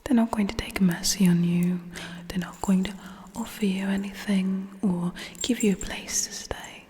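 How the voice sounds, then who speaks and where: Young woman playing a menacing female alien ruler, breathy whisper, ASMR roleplay, disdainful, cold, condescending, echoey reverberant sound. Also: mid-range voice, fairly even intonation, normal speed, dark tone, close to an everyday voice. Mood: quietly threatening. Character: haughty, menacing female alien military ruler, coldly contemptuous. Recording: echoey room with long reverb.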